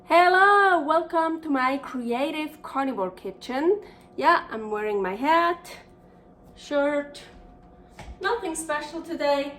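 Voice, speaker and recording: A young Swiss woman with a noticeable accent talks through a recipe in her home kitchen. Her voice sits high and her pace is slow, full of fillers and restarts as she thinks aloud. The mic is some distance off, with a faint hum of room noise behind her. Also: expressive, fairly bright tone. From Switzerland. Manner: chatty and rambling, many fillers and restarts. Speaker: cooking show host. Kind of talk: casual cooking vlog chat.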